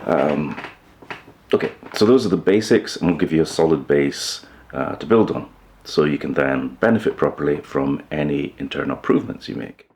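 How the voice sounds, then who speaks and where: A man presenting an informal explainer video in a measured, matter-of-fact way. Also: extremely low pitch, expressive, darkish tone, a little hiss or hum. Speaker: host of a hi-fi upgrade video channel.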